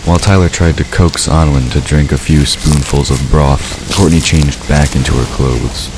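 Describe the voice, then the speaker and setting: Casting: man with an exceptionally deep voice as fiction narrator, scripted read, noisy ambient effects underneath. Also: moderate pace. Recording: steady noise behind the voice.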